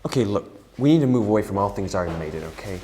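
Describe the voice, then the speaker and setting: A man's voice: fairly low pitch, expressive, quick pace, mellow, slight background noise, close to an everyday voice. A man acting an earnest, fussy young rock drummer in a sitcom table read. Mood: exasperated.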